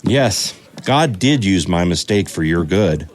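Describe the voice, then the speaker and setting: Low, slow, repentant father. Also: expressive, exaggerated voice. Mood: contrite. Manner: sincere, contrite. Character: humbled, repentant father, a changed ex-convict. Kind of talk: kids' audio drama acting.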